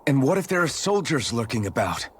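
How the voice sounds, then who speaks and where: A man's voice: medium pitch, sing-song, brisk, husky. A man playing an earnest, questioning young rebel hero in a video game cutscene. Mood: concerned. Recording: music behind.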